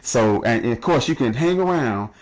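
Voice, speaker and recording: A man hosting a casual interview, relaxed and chatty, who chuckles at his own jokes. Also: mid-range voice, normal speed, poor audio. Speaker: radio show host.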